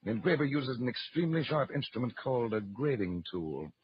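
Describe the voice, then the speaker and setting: An actor playing a confident, shrewd magician-detective hero in an old-time radio serial, speaking with assured command. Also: male voice, mid-range voice, big pitch swings, a little fast, natural voice. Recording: muffled old radio recording.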